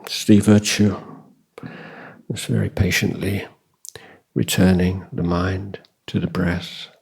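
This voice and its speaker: A man giving guided meditation instruction as a Buddhist teacher, calm and measured, with long pauses and a husky, breathy voice. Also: deep voice, poor audio. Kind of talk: guided meditation dharma talk.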